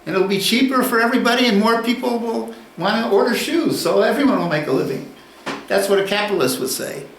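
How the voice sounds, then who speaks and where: A man giving a university lecture, deliberate and unhurried, posing questions and then answering them. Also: high voice, slight background noise. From United States. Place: lecture room. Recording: echoey room, distant mic, faint ambience.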